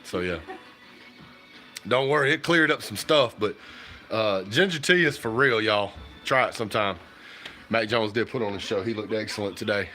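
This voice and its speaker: Stuffy man, bright voice. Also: on the low side, normal speed, faint music behind. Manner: rambling, folksy, talks to viewers directly. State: stuffy and hoarse from a cold. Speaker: livestream host. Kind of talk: casual livestream chat about football. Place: home kitchen.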